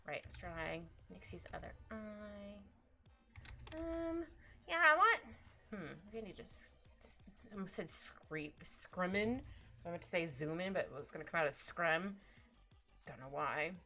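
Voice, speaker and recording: A woman's voice: lowish voice, lively intonation, a little slow, sharp tone. A young woman with a slightly stuffy nose, chatting casually on a livestream while she draws, talking to her pet and reading chat aloud. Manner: chatty, giggly, talks to her cat and reads chat aloud. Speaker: streamer drawing live. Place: small room at home. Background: faint background music.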